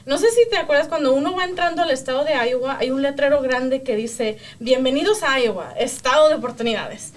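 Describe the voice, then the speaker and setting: A woman's voice: sits high, a little fast, few pauses. A woman with a Mexican accent, co-hosting a podcast in casual chat, telling stories and laughing often. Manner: warm, laughs often, lively storytelling. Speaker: podcast co-host.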